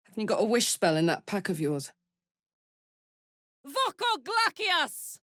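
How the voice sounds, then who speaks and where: A tough, fiery video game warrior with a big heart and a casual, sweary swagger. Her delivery takes its time and swings widely in pitch, moving between blunt bravado and earnest loyalty. The sound is dry and clean, with no room around it. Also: wildly swooping pitch, a little slow, a bit bright, no room sound, exaggerated voice. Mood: wry, bold, then shouting, fierce. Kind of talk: video game character voice lines.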